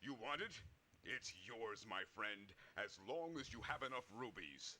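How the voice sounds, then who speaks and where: An actor playing a greedy shopkeeper in a game cutscene, dragging out his sales pitch. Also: expressive, pushed and bright, heavily acted. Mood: salesy. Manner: slow, drawn-out sales pitch. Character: slow-talking male shopkeeper, greedy. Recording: hissy old game audio.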